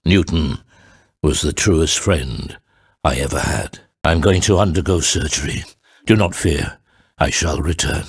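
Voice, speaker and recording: An actor playing a grave, theatrical middle-aged father and storyteller in a video game, speaking in a deliberate, formal and grandiose way with a gravelly, husky voice. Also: extremely low pitch, dry recording, exaggerated voice. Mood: weary, solemn. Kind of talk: dramatic video game voice acting.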